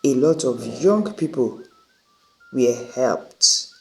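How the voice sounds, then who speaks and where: A man narrating a scripted dramatic story as a storyteller, in deliberate, halting phrases. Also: medium pitch, lively intonation, low-quality recording.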